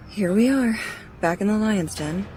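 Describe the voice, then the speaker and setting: An actress playing a sardonic police captain in an audio drama, speaking with dry sarcasm. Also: female voice, mid-range voice, expressive, slow, background noise. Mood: wry.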